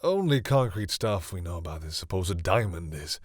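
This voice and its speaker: A man playing a proud vampire captor who is awkwardly softening, speaking hesitantly and condescendingly to the listener in an intimate audio roleplay. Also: low voice, animated, normal speed, dry recording, studio quality. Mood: instructive, stern. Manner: hesitant, sighing, wryly condescending toward humans.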